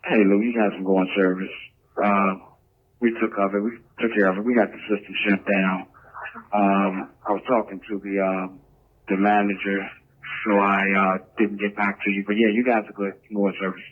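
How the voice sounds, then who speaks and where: Male firefighter on tinny two-way radio, lowish monotone, casual halting delivery. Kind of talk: informal radio exchange. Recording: tinny, narrow-band fire radio transmission.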